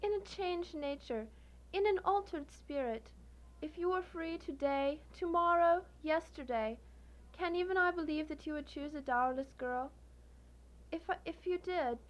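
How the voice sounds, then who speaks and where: A woman's voice: high-pitched, poor audio. A woman playing a sorrowful, dignified young sweetheart, speaking formally and earnestly in a radio drama. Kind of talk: live student radio drama acting.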